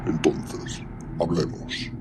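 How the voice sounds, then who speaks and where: A man's voice: extremely slow, over loud music. A man playing an ancient superhuman warlord in an audio drama, speaking with cold, commanding disdain and menace. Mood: cold, menacing. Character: ancient imperious superhuman warlord primarch, menacing and coldly condescending.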